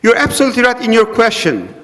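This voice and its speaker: High-voiced man with a Turkish accent. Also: wildly swooping pitch, fairly bright tone. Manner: deliberate, joking about his own accent. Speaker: imam, panelist. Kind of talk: storytelling panel answer. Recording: reverberant hall.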